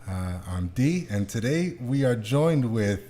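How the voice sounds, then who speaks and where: A man's voice: mid-range voice, lively intonation, measured pace, warm tone. A man hosting a podcast in casual interview chat, with irreverent, loose banter. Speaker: podcast host.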